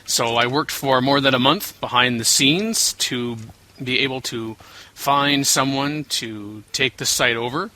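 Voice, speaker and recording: A man's voice: medium pitch, unhurried, sharp tone, low-quality recording. A man co-hosting a casual podcast, joking around and then explaining things at length. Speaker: guest co-host.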